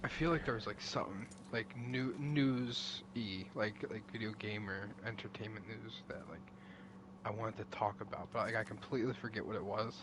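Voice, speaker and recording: A man's voice: on the low side, steady tone, normal speed, noisy background. A man chatting casually while gaming, wry and teasing, often trailing off mid-thought. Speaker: streamer.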